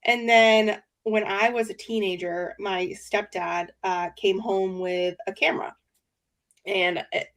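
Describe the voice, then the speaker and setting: A woman's voice: mid-range voice, steady tone, slow pace, a bit bright. Woman guest, nasal and congested from a cold, chatty and laughing. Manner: chatty, laughs often, many fillers. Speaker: podcast guest. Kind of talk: casual chat.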